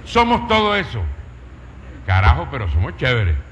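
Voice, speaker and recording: A man's voice: mid-range voice, wildly swooping pitch, normal speed, fairly bright tone. A man with an accent from Colombia's Caribbean coast telling a story in a public talk, emphatic and rhetorical, piling up lists and questions. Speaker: speaker, writer. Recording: dull, reverberant hall with faint noise.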